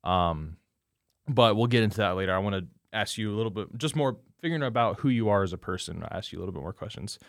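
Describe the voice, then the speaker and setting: A man's voice: lowish voice, lively intonation, fast, vocal fry, dry recording, studio quality. A man in his twenties hosting a podcast, reading a scripted intro and then asking easygoing interview questions. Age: 20s. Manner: easygoing, rephrases questions as he asks them. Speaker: podcast host.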